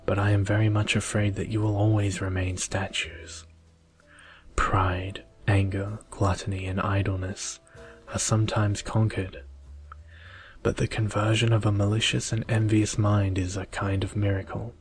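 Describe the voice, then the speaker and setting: A man voicing a stern, just lady fairy in a solemn, measured ASMR storybook reading. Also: lowish voice, level tone, soft background music. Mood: stern, judging.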